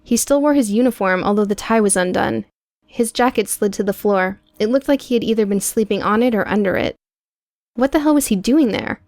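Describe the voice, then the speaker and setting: A woman reading scripted audiobook prose in a measured, dramatic storytelling manner. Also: mid-range voice, a little mellow, no room sound. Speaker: audiobook narrator.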